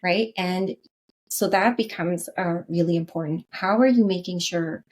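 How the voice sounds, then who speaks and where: A woman's voice: on the low side, a little slow, darkish tone. A Canadian woman, a guest in an interview, talking earnestly and often checking in with "right?". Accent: Canadian English. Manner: earnest, frequent "right?" tags and restarts. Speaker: podcast guest, leadership consultant. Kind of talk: interview conversation.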